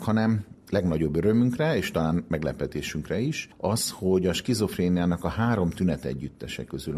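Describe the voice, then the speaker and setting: A man's voice: low voice, darkish tone, breathy edge. A man speaking as a research director in an interview, explaining science in long sentences and restating his terms as he goes.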